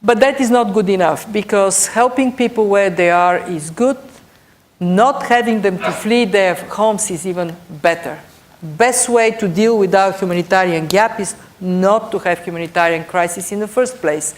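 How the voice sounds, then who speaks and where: A middle-aged woman from Bulgaria speaks English with a clear Bulgarian accent. Her pitch sits in the middle range. She talks as a panelist, laying out numbered points and leaning on the key words. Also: normal speed, a little hiss or hum. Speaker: panelist, European budget official. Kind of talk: panel discussion remarks.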